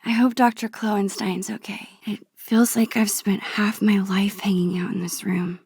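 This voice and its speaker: A gentle, introspective teenage girl from a video game. Her voice is soft and husky with breath, recorded very dry and close. She takes her time over each phrase, hesitant and wistful, as if musing to herself. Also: medium pitch, flat delivery, unhurried, no room sound. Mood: pensive, concerned. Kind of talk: naturalistic video game dialogue and inner monologue.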